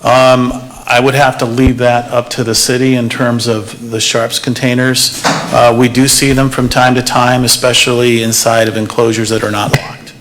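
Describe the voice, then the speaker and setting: A man at a public workshop explains trash bin locks and pickup times. He talks hesitantly, stopping for um and uh and restarting sentences. His voice sits at a middle pitch. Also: flat delivery, normal speed, few pauses, a bit bright, slight background noise, rough recording. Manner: hesitant, many fillers and restarts. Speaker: waste hauling company representative. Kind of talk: answering questions at a public workshop.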